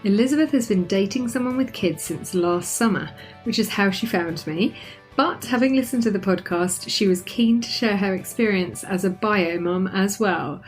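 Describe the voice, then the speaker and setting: A woman's voice: medium pitch, moderate pace, soft background music. A woman hosting a podcast interview, chatty and upbeat. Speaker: podcast host.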